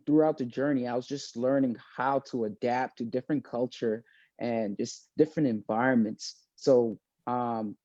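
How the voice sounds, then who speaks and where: Mellow-voiced young man on a video call. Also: mid-range voice, no room sound, muffled recording. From born in South Sudan, raised in Maine, USA. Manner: thoughtful, with many fillers and restarts. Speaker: interview guest, student. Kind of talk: casual video-call interview.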